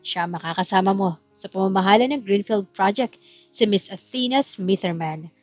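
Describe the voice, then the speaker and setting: A woman's voice: medium pitch, moderate pace, dry recording, muffled recording, soft background music, close to an everyday voice. A woman voicing a formal master of ceremonies making introductions in an audio drama. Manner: formal, announcing.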